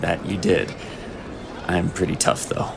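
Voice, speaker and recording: A man playing a strong, kindly, easygoing elite guardian in a fantasy audio drama, gently insistent and reassuring. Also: low-pitched, level tone, a little slow, creaky. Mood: warm, easygoing. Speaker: voice actor. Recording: noisy outdoor city ambience behind.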